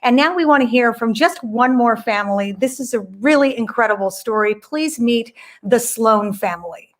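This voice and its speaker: Gracious higher-voiced woman hosting a gala. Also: expressive, normal speed. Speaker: gala host.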